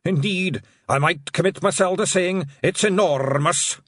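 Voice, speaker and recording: Man playing a slow, pompous Scottish pixie, lofty and husky. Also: high voice, fairly bright tone, no room sound, clean studio sound, voice pushed up. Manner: pompous, drawn-out and ponderous.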